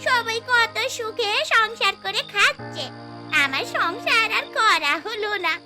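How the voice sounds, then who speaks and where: A woman's voice: very high voice, a little slow. A woman playing a scheming female ghost who longs for married life, theatrical and gloating in a fairy-tale cartoon. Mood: wistful, self-pitying. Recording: music behind the voice.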